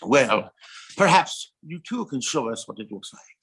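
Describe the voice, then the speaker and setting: A man's voice: medium pitch, very animated, measured pace, fairly bright tone. A man playing an ardent, tender young French king in a courtly, theatrical audio drama style.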